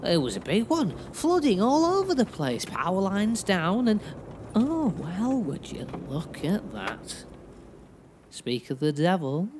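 A man's voice: sits high, huge pitch swings, takes it slowly, noisy background, full character voice. An actor playing a portly, chatty male dingo cab driver in an audio drama, rambling and grumbling in a folksy way. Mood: chatty, matter-of-fact.

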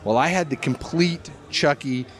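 Guy on a podcast tellin stories, kinda higher voice, animated n informal. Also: normal speed. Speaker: podcast guest, co-host of a film podcast. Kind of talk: casual storytelling chat. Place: on location at a Halloween event.

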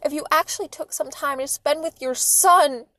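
A protective mother at the end of her rope performed by a woman reading aloud. Her high voice is raised, emphatic and desperate, recorded very dry, as in an audio story. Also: moderate pace, dry recording. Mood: indignant. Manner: emphatic, pleading yelling. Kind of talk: dramatic fan fiction reading.

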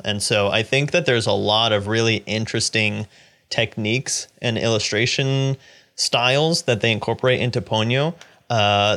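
A man's voice: fairly low pitch, bright, forceful tone. Conversational male podcast host. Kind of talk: casual film discussion.

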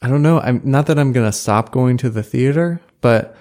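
A man co-hosting a casual chat, easygoing and agreeable, often answering with a relaxed yeah. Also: medium pitch, normal speed. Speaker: podcast co-host.

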